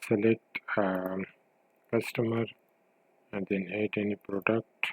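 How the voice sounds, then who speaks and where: A man with a fairly low voice presents an informal how-to walkthrough. He thinks aloud in a hesitant, step-by-step way. The sound is dry, with very little room around the voice. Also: level tone, takes it slowly, husky, no echo. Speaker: software tutorial presenter. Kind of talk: informal screen-share tutorial narration.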